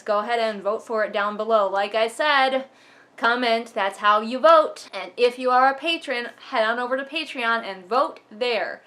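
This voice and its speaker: A woman's voice: a little high, a bit bright. A woman hosting a book vlog, chatting casually to viewers and reading aloud with wry, sardonic asides. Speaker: book commentary vlogger and host.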